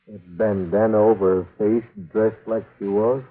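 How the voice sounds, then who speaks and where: An actor playing a shaken, remorseful rustic clerk, halting and distraught, in an old-time radio western. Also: male voice, fairly even intonation, close to an everyday voice. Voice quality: husky, low. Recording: narrow-band vintage radio recording.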